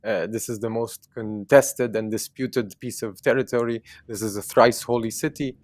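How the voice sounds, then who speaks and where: Low-voiced man from jerusalem, armenian first language, earnest interview guest, lots of uhs, dry sound. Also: fairly even intonation, moderate pace, no echo. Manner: earnest, frequent "uh" fillers and restarts. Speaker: activist guest.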